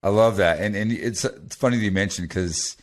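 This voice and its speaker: A man from the United States hosting a podcast, chatty and animated, with lots of restarts. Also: fairly low pitch, a little fast. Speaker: podcast host. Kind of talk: casual interview talk.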